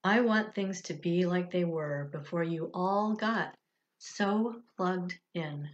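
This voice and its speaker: A woman's voice: fairly low pitch, takes it slowly, lo-fi sound. A woman voicing an insistent, upset young girl in a children's storybook. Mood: earnest.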